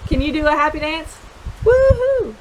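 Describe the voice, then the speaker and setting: A woman with a high, very animated voice reads a children's storybook aloud. Her delivery swings widely in pitch, and she speaks directly and encouragingly to little listeners. A steady hiss runs behind her voice. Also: normal speed, low-quality recording. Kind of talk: animated picture-book read-aloud with questions to young listeners.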